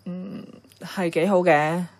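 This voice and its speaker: An actress playing a caring, affectionate and slightly evasive niece in a Hong Kong Cantonese radio drama, conversational and soft-hearted. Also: female voice, fairly low pitch, fairly even intonation, slow pace. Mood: concerned, evasive.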